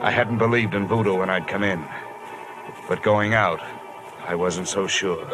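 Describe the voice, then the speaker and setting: A man's voice: sits low, steady tone, unhurried, loud music behind the voice, rough recording. A man playing a wry, hard-boiled investigator in an old radio detective drama, with clipped, sardonic delivery. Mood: uneasy narration. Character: wry, hard-boiled male insurance investigator narrating his case. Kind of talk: old-time radio detective narration and dialogue.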